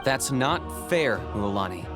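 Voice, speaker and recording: An actor playing a cool, pragmatic young warrior in a video game, level-headed and matter-of-fact. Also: male voice, mid-range voice, sing-song, slow pace. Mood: calm. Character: cool, pragmatic young male warrior who weighs costs. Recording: music under the voice.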